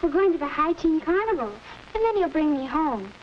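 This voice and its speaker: High-voiced, wholesome teenage girl. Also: very animated, fairly quick. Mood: chatty, sensible. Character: cheerful, sensible teenage girl, a big sister. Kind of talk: 1940s educational film acting. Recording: muffled, noisy 1940s film soundtrack.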